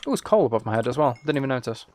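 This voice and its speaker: A man's voice: medium pitch, big pitch swings, very fast, a little mellow, a little hiss or hum. A British man streaming a game and chatting casually with viewers, musing aloud in an informal, rambling way. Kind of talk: casual chat while playing a game.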